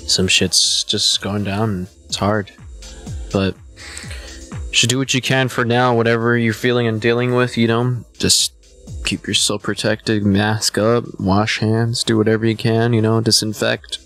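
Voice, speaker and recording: A man's voice: on the low side, level tone, unhurried, a bit bright, soft background music. A man in his twenties chatting casually to his live stream viewers, a bit hungover, rambling and laid-back with lots of "man" and "you know.". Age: 20s. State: a little hungover.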